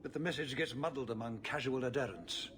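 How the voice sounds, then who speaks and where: An actor playing a burdened middle-aged city ruler in a fantasy game, speaking in a measured, formal, world-weary way. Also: male voice, medium pitch, fairly bright tone, a little hiss or hum, close to an everyday voice. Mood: grave, measured. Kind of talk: video game cutscene acting.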